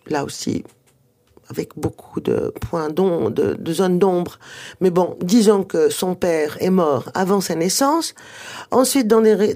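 A woman's voice: lowish voice, warm tone. A woman whose first language is Arabic, a guest author in a radio interview, explaining at length with hesitant restarts.

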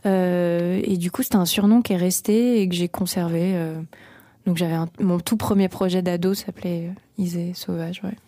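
Hesitant young woman, mellow dry voice. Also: on the low side, fairly even intonation, moderate pace, no echo. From Paris region. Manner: hesitant, many fillers and restarts. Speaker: singer-songwriter guest. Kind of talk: radio interview.

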